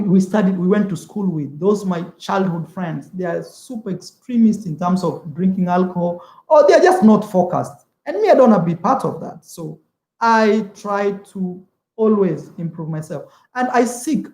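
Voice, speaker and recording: A man's voice: high-pitched, expressive, moderate pace, darkish tone, reverberant, rough recording. A young man from East Africa whose first language is Swahili, chatting casually on a travel livestream, rambling, repeating words and calling the viewer "man". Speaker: travel vlogger.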